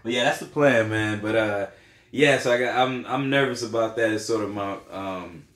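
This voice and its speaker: A man, in his thirties, speaks casually on a podcast. His voice sits on the low side, and he rambles with frequent fillers and restarts. The room around him is echoey. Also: normal speed, a bit bright. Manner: rambling, hesitant, lots of fillers. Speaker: podcast co-host. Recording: roomy echo.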